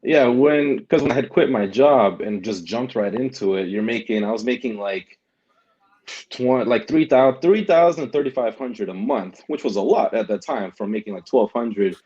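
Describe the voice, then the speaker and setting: A man's voice: medium pitch, animated, moderate pace, darkish tone, muffled recording. A young man talking casually as a guest, rambling with many restarts and tags like "right?". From Germany. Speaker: guest, delivery driver and vlogger. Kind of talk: casual interview chat.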